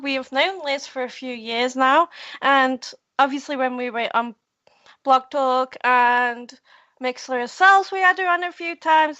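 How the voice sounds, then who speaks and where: A woman with a high, bright voice hosts an online radio show with a loose, giggly manner. Her audio is dry and close but slightly muffled and lo-fi. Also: no room sound. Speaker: host.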